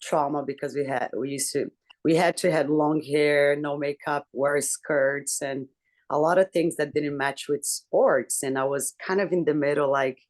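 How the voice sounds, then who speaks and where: A woman with a deep voice, earnestly telling a personal story to her book club over a video call. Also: steady tone, normal speed, a little mellow. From Brazil. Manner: earnest, candid, reflective storytelling. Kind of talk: personal story in a group discussion.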